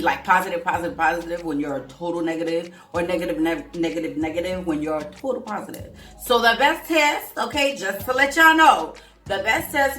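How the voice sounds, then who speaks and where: A woman in her forties from Haiti, a vlogger telling her life story in a chatty, animated way and calling her viewers "y'all". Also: fairly low pitch, echoey room. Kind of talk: casual storytelling to camera.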